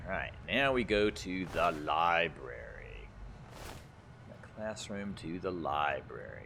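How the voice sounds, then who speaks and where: A man hosting a gaming video, giving casual gameplay commentary, excitable and thinking aloud as he plays. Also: sits low, slow. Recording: faint background noise.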